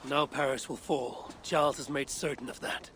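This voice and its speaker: An actor playing a stern, distrustful Viking warrior in a video game, speaking in a blunt, solemn, terse way. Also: male voice, medium pitch, fairly even intonation, normal speed, fairly bright tone, a little hiss or hum, close to an everyday voice. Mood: grim. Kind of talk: dramatic video game acting.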